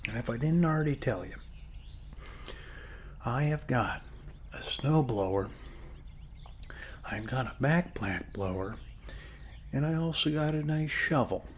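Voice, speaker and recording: A man speaking softly and unhurriedly in a friendly, casual ASMR roleplay, as a neighbor chatting one-on-one, with frequent pauses. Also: medium pitch, lively intonation, a little hiss or hum. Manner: soft-spoken, friendly, unhurried, pausing often. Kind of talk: ASMR roleplay, one-sided casual chat over coffee.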